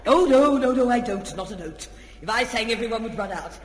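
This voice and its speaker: A woman's voice: fairly high pitch, lively intonation, a little fast, natural voice. An actor playing a coy, gushing married woman in old-time radio comedy, theatrical and fluttery. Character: coy, gushing married lady volunteering at a hypnotism stage act. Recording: echoey hall, old radio recording.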